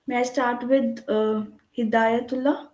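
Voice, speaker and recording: A woman's voice: a little high, steady tone, a little slow, darkish tone. A woman giving an online lecture, explaining at length and checking in with "right?". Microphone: computer mic on a video call. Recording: echoey room.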